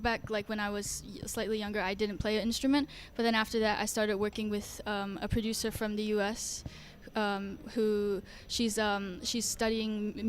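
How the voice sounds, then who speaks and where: A teenage girl from Singapore answers questions shyly, hesitating and filling pauses. Her voice stays flat and even. Faint ambience is heard behind her. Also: mid-range voice, a little hiss or hum. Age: teens. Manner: shy, hesitant, many fillers. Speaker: young singer guest. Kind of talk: casual interview answers.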